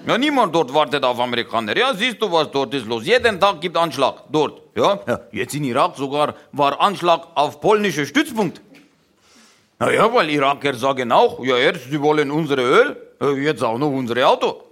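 A man's voice: a little high, big pitch swings, normal speed, a bit bright. A man playing a talkative foreigner who speaks broken German and starts each sentence with "ja". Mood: mocking. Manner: broken word order, constant "ja" openers. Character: chatty foreign man mangling German grammar. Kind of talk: satirical comedy monologue.

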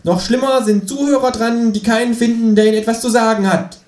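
A man voicing a cheeky, easily offended kangaroo in a comic story reading, in a much higher voice than his own. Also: steady tone, a little mellow, recorded from a distance. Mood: declaiming. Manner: brash, quick to take offense. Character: cheeky, indignant, loud-mouthed kangaroo. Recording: roomy echo.

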